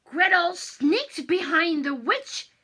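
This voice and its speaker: High, animated woman telling a bedtime story. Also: slow pace. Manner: theatrical, expressive, with exclamations and asides. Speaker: children's storyteller.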